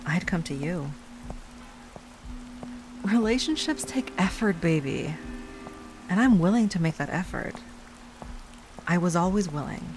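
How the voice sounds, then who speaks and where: A woman playing an obsessive, lovesick stalker ex-girlfriend in an intimate audio roleplay monologue, sweet yet menacing, with a breathy delivery that drifts into whispers. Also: fairly low pitch, lightly acted, low-quality recording. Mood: sweetly menacing. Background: rain and music.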